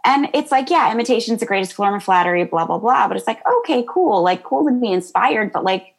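Bubbly high-voiced woman yoga teacher. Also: animated, a little fast, creaky. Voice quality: high-pitched voice. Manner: bubbly, laughs often, many fillers. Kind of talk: interview answers, casual chat.

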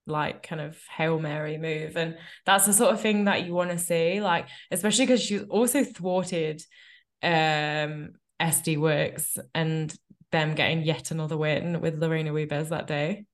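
A woman with a stuffy, nasal voice from a cold talks casually as a podcast co-host. Her pitch sits a little low and her tone is wry and chatty, with loose fillers between thoughts. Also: fairly even intonation, unhurried. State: congested with a cold. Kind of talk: casual chat.

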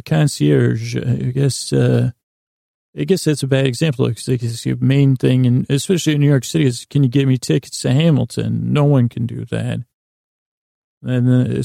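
A man hosting a bedtime podcast, giving a rambling, improvised monologue that meanders haltingly and keeps stopping and starting. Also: mid-range voice, creaky, mellow, no echo, clean studio sound. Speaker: podcast host and bedtime storyteller.

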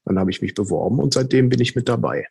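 A man's voice: medium pitch, animated, quick pace, vocal fry, a little mellow, breathy edge. A man in his early fifties telling his story casually and conversationally as an interview guest. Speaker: podcast guest, team member.

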